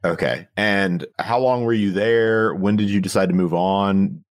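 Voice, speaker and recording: A man hosting a podcast interview, joking with his co-host and guest in a relaxed, playful way. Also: low voice, unhurried. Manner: playful and jokey, laughs easily.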